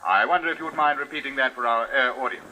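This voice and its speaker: An actor playing an eager, polite street reporter coaxing an interview in a 1950s radio drama. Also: male voice, mid-range voice, quick pace, sharp tone, poor audio. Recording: old radio recording.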